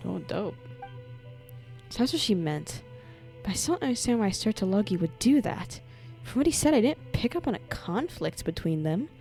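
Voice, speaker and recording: A woman gives casual commentary as a game streamer, in a medium-pitched voice. She is playful, slangy and quick to react, with some music in the background. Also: very animated.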